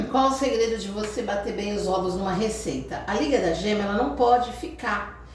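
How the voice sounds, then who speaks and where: A woman's voice: medium pitch, noisy background. A Brazilian woman hosting a home cooking lesson, explaining each step in a chatty way and often checking in with viewers. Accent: Brazilian Portuguese. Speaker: cooking channel host. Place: home kitchen. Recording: echoey kitchen audio from far off.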